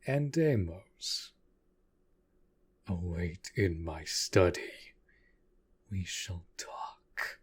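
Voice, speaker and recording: Vampire lord of the manor, played by a man: very low, husky voice, formal and commanding, protective, for audio roleplay. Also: expressive, exaggerated voice. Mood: cold, possessive. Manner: formal, measured, authoritative. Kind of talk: scripted audio roleplay acting.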